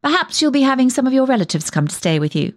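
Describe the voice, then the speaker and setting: A woman's voice: high-pitched, very animated, fast, no echo, clean studio sound. A woman performing a comic monologue as a nosy, tactless village dressmaker who chats on with prying, backhanded remarks. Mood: prying, insinuating. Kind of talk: dramatic monologue reading, comic character storytelling.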